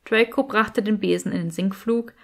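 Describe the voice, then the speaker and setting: A woman's voice: medium pitch, fairly even intonation, normal speed. A woman narrating a scripted story as an audiobook reader.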